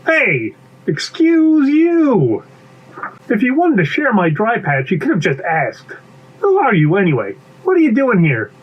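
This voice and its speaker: Man acting know-it-all bee, lecturing, self-important, animated intonation, children's storybook, slightly muffled recording. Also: a little high, normal speed. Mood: snooty, curious. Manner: pompous, lecturing. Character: know-it-all bee, brash, chatty, pompous.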